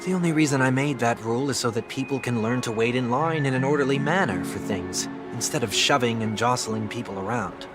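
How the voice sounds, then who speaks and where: An actor playing a grave, dignified and reflective gentleman in a video game, speaking in a formal, measured way. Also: mid-range voice, music in the background, lightly acted. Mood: formal, earnest. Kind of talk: scripted video game voice acting.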